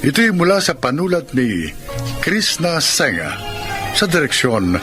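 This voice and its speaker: A man announcing a radio drama in scripted, formal narration, with dramatic, deliberate phrasing. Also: fairly high pitch, big pitch swings. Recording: radio broadcast over music bed.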